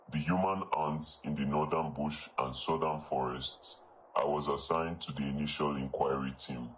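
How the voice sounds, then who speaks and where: A man giving a solemn scripted statement as an investigator, speaking in grave, measured short clauses. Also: extremely low pitch, slight background noise, poor audio. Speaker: clip of a man claiming to be an investigator.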